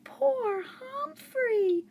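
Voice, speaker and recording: A woman voicing a caring young girl who speaks high, soft and tearful in a storybook reading. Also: expressive, very slow, heavily acted.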